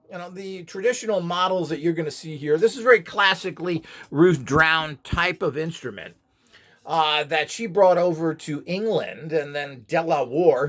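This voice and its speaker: A man giving an unscripted, explanatory instructional talk in an opinionated, dismissive and somewhat halting manner. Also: on the high side. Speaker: instructor presenting a how-to video.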